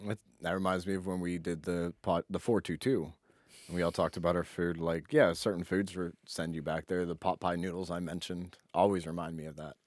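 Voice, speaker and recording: A man's voice: sits low, level tone, a little fast, dry recording, clean studio sound. A man co-hosting a podcast, explaining his thoughts informally in casual conversation. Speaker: podcast co-host.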